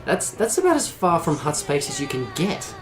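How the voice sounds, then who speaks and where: A man's voice: fairly high pitch, huge pitch swings, moderate pace, roomy sound, over music, poor audio. A man playing a cavalier, wisecracking space smuggler in an audio drama, breezy and glib. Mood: wary. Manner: breezy, glib, mock-innocent.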